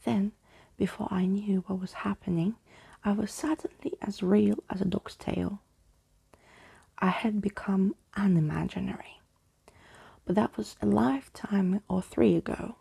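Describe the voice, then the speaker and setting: Woman, very husky and breathy, slow pace, soft ASMR storytelling, intimate, unhurried. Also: mid-range voice, fairly even intonation. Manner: unhurried, intimate, addressing one listener. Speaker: ASMR storyteller reading a book aloud. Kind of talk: soft-spoken ASMR bedtime roleplay and reading.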